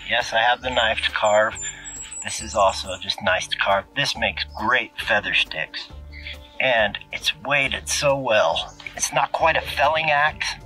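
A man's voice: on the low side, a little slow, faint music behind, low-quality recording. Plainspoken man reviewing camping gear outdoors. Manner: relaxed, plainspoken, jokey. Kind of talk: casual gear review to camera. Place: outdoors in open scrubland.

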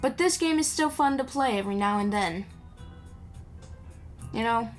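A woman's voice: fairly high pitch, expressive, normal speed, soft background music. A woman giving casual, playful, rambling commentary while playing a video game.

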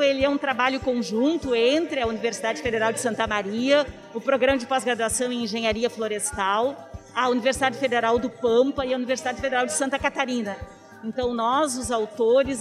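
A middle-aged woman, slightly muffled by a face mask, giving an unscripted interview as an author. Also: on the high side. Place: outdoor fair in a square. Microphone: handheld reporter microphone. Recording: busy crowd and music behind.

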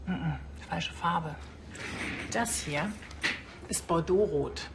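A cool, matter-of-fact pathologist in a TV crime series. Her fairly low voice is dry and measured, with faint ambience behind it. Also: creaky, background noise, heavily acted. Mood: clinical. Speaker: actor in a TV crime comedy.

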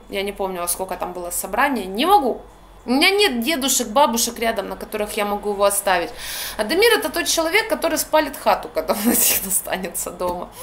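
A young woman with a Ukrainian accent vents into a phone held at arm's length in a small room by a window. Her voice sits a little high and she talks bluntly, with slang and a fed-up edge. A faint noise hangs under the recording. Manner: blunt, slangy, exasperated, animated. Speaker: vlogger. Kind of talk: casual ranting monologue. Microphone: phone camera at arm's length.

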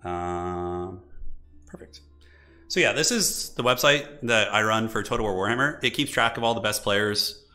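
A man live-streaming a strategy game, chatting casually and enthusiastically with viewers in a slightly raspy voice from an irritated throat. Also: on the low side, normal speed, pushed and bright, faint music behind. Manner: enthusiastic, informal, thinking aloud. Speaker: livestreamer, esports website organizer.

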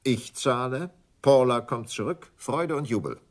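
An actor playing a smooth, scheming English stockbroker in a German radio crime drama, speaking in a deliberate, condescending way. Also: male voice, mid-range voice, close to an everyday voice. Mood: suave, commanding.

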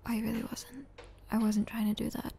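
A woman playing an apologetic, overwhelmed young female student in an ASMR roleplay, with a soft, whispery, hesitant voice. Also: fairly high pitch, little pitch movement, a little hiss or hum, natural voice. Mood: apologetic, guilty. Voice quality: soft, breathy, often whispery. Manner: hesitant, halting.

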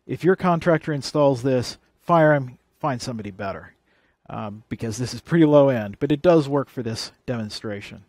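A man's voice: mid-range voice, lively intonation, normal speed, a little mellow, dry recording. Man giving a dry, close-sounding tutorial. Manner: plain-spoken, explanatory, step by step. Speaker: presenter of an electronics how-to. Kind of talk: hands-on tutorial demonstration.

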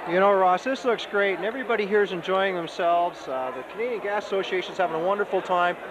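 Chatty high-voiced man on a handheld mic. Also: fast talker. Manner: folksy, chatty, enthusiastic. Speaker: event reporter and host. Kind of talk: roving event coverage. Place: indoor banquet hall at a party. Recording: noisy camcorder audio at a party.

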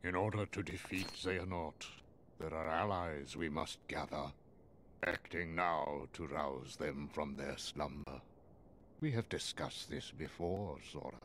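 An actor voicing a stern, wise old wizard master in a video game, speaking formally and gravely in measured phrases. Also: male voice, extremely low pitch, a bit bright, slight background noise. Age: older. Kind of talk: video game cutscene acting.

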